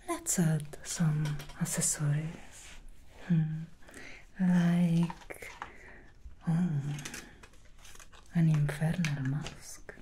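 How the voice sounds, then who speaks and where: A woman whispering as a slow, coaxing demon stylist. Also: low-pitched, dark tone, slight background noise. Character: seductive female demon hair stylist. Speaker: ASMR roleplay stylist. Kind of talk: ASMR roleplay.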